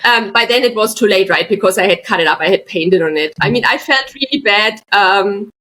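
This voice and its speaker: A woman's voice: medium pitch, expressive, brisk, pushed and bright, slight background noise. Middle-aged woman, podcast guest, chatty anecdote teller. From Germany, Bavaria. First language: German. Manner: chatty, tells anecdotes with frequent asides. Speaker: guest, artist. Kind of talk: casual podcast chat, storytelling.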